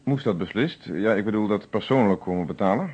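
An actor playing a firm, probing police inspector who questions with formal politeness in a radio play. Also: male voice, fairly low pitch, lively intonation, brisk, darkish tone, slightly rough. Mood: probing, controlled. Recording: dull old radio recording.

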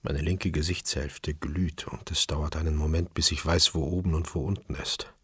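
An actor playing a tense chef who narrates his own crime story in a German radio drama, terse and inward, with a husky, rough voice. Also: rumbling low, fairly even intonation, normal speed, fairly bright tone, no echo, clean studio sound, heavily acted. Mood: dazed, grim.

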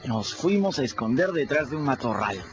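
A man's voice: medium pitch, very animated, normal speed, natural voice. An actor playing a scrappy, candid boy who narrates his adventures in a radio drama, confiding in the listener. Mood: candid storytelling. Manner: conversational, confiding, addresses listeners directly. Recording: dull lo-fi radio drama with music.

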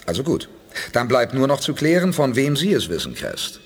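A calm, decisive starship commander. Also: male voice, mid-range voice, husky, heavily acted. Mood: steady. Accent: German. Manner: reasoning, measured, emphatic. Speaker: lead character. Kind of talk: 1980s German radio play acting.

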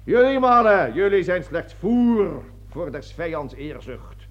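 Pompous fanatical colonel, higher-pitched, declamatory, self-righteous, satirical radio drama, lo-fi sound. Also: male voice, sing-song, takes it slowly, full character voice. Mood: bombastic, accusing. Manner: declamatory speeches, barking orders, stammering when flustered. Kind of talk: satirical radio play acting. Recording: dull lo-fi radio drama recording.

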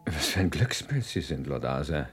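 A man's voice: extremely low pitch, big pitch swings, moderate pace, soft background music. A man playing an ingratiating, evasive society palm reader in a radio play, courteous and hesitant in his pronouncements. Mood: flattering.

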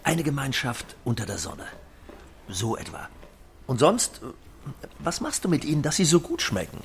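Excitable, theatrical schemer, animated voice. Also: male voice, mid-range voice, moderate pace, a little hiss or hum. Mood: enthusiastic scheming. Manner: effusive, theatrical. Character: excitable, scheming male businessman friend held captive. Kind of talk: German audio drama acting.

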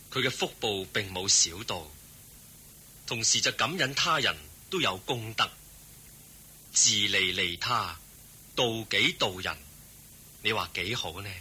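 An actor playing a serene, wise Buddha in a Cantonese radio drama, teaching in a measured, benevolent manner. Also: male voice, fairly low pitch, pushed and bright, slightly rough, exaggerated voice.

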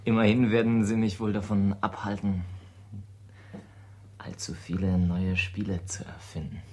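A man's voice: lowish voice, little pitch movement, measured pace, darkish tone, natural voice. An actor playing a bored, reluctant male student who speaks listlessly in a radio play.

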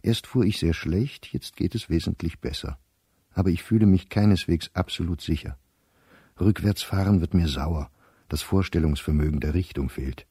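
Very deep, weary professor reading his diary. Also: dark tone, breathy edge, no echo, clean studio sound. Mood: anxious, measured. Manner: precise, clipped telegraphic diary phrasing. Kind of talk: radio play diary monologue.

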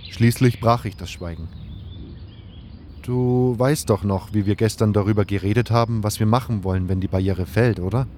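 A man playing a thoughtful, earnest adventurer who tells his own story in an audio drama, in a measured scripted delivery. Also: fairly low pitch, darkish tone, a little hiss or hum, lightly acted. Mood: calm, reflective. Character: first-person male adventurer hero, earnest and thoughtful. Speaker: narrator and lead character of a fan audio drama. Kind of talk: audio drama narration with in-character dialogue.

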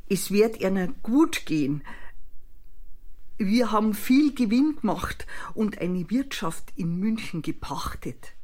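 A woman's voice: mid-range voice, dark tone. An actress playing a persuasive Bavarian innkeeper's wife and mother in a radio play, with a Bavarian accent. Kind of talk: German radio play acting.

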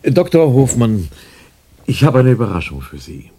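An actor playing a smooth, insinuating research director in a German science fiction radio play, polite but probing. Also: male voice, medium pitch, very animated, normal speed, dark tone. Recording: faint background noise, early-1990s radio drama.

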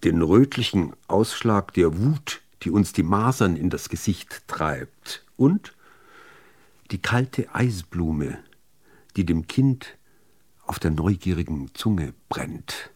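Guy reading poetry, really deep voice, measured w pauses, lots of movement in the intonation. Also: animated, husky. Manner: deliberate, measured phrasing with pauses. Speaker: reader of poetry. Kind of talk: literary poetry recitation.

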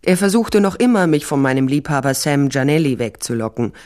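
A woman's voice: sits low, animated, fairly quick, darkish tone. A woman playing a tough, laconic female private investigator and ex-cop in a radio crime drama, in narration and dialogue. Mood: dry, reflective. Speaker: narrator and lead actor. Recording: studio radio play with music underneath. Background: music.

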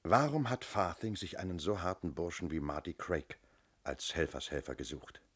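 An actor playing a shrewd, self-assured police inspector in a radio crime drama, speaking firmly and methodically. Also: male voice, low-pitched, moderate pace, fairly bright tone, slightly rough. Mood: certain, explanatory. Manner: methodical, firm, explanatory.

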